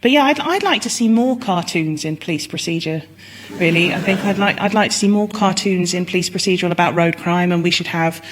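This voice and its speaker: A woman's voice: fairly low pitch, a little fast, background noise. A woman explaining things comically and anecdotally as a panellist on a radio comedy show. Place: radio show before live audience.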